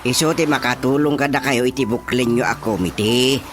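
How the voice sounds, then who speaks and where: A man's voice: mid-range voice, normal speed, a bit bright, close to an everyday voice. A man acting as a supportive older father in a radio drama, advising his grown daughter with an Ilocano accent. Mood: encouraging, advising. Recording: radio drama with faint music behind.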